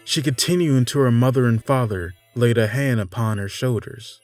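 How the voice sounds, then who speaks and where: A man with a mid-pitched voice reads a fairytale as a dramatic narrator. He moves through it in short, measured phrases with theatrical pauses. Clear instrumental music plays underneath him. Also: darkish tone. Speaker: storyteller narrator.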